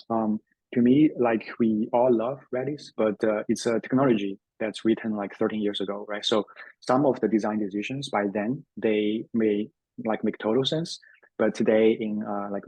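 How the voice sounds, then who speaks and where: A man's voice: fairly low pitch, a little mellow, husky, no room sound, poor audio. A man hosting a tech webinar as a developer advocate, speaking casually with lots of fillers and restarts. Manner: hesitant, many fillers and restarts.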